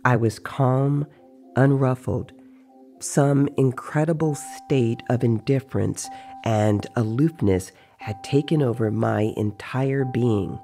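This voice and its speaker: Slow, creaky male story narrator. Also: medium pitch, mellow, soft background music. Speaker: narrator reading book excerpts. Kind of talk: scripted dramatized narration.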